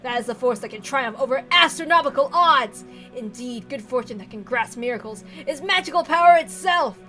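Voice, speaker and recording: A woman's voice: high-pitched, lively intonation, pushed and bright, soft background music. A woman voicing a deranged, tyrannical old patriarch with theatrical, obsessive rants, reading from a visual novel. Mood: grave, fervent. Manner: theatrical howling rants, drawn-out pleading.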